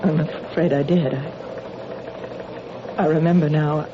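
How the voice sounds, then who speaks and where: A guilty nervous wife in an old radio play, kinda pleading and halting, muffled with hiss. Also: female voice, low-pitched, steady tone. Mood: confessing, distressed. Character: contrite, anxious married woman who compulsively steals. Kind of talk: 1970s radio drama acting.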